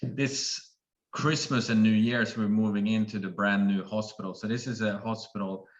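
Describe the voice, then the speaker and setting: A middle-aged man from Sweden with a Swedish accent, giving a webinar presentation as a surgeon in a halting way, full of fillers and restarts. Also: lowish voice, fairly even intonation, dull audio. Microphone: video call.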